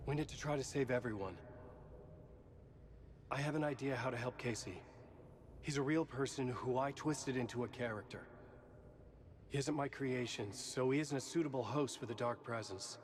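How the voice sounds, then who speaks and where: An actor playing a tormented, guilt-ridden horror writer in a video game cutscene, brooding and urgent. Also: male voice, mid-range voice, moderate pace, long pauses, slight background noise, natural voice. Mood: resolute.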